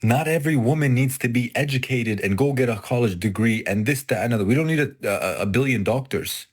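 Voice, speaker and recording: A man's voice: medium pitch, fairly quick, breathy edge. A man giving a stern, scolding lecture in a preaching style.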